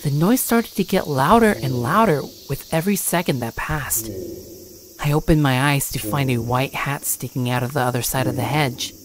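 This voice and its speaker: A woman's voice: rumbling low, slight background noise. A woman giving a scripted first-person horror story narration.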